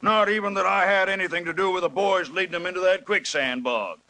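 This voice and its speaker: An actor playing a ruthless folksy small-town boss with a rural American drawl and a blustering, commanding manner, in an old radio serial. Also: male voice, sits high, brisk, sharp tone, slight background noise. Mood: smug. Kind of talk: 1940s radio serial acting.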